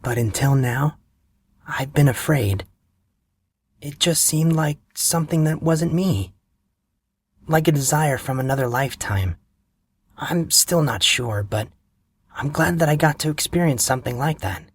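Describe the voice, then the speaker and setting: A man voicing a playful, teasing high school girl who bosses her friend around. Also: lively intonation, a little slow, pauses often, slightly rough. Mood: soft, wistful. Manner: teasing, bossy.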